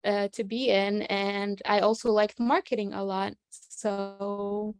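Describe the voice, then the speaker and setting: Young female guest from Egypt, slightly gravelly, dry close sound, hesitant casual answers. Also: medium pitch, slow, no room sound. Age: young adult. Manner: relaxed, many fillers like uh and um. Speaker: guest, classical singer.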